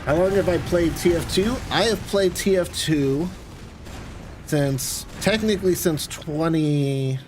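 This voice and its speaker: A man's voice: fairly high pitch, slow pace, a little mellow, a little hiss or hum. An American man chatting casually on a game livestream, rambling in a conversational way. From United States. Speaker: game streamer. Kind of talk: casual livestream chat while gaming.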